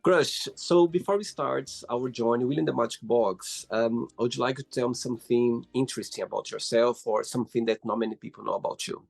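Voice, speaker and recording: Male interview host, gravelly, husky, encouraging, casual, interested exclamations. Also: mid-range voice, brisk, few pauses, darkish tone.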